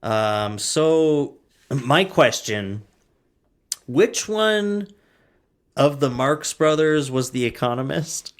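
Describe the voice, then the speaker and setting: Man, podcast co-host, casual banter, jokey, laughs through his words, mid-pitched voice. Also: slow pace.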